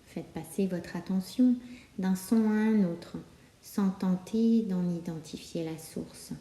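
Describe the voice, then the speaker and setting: A woman leads a guided meditation in a calm, soothing manner. Her voice is slightly low and very mellow, and she takes her time with long pauses between short instructions. The sound is roomy, with clear echo around her. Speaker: yoga nidra guide.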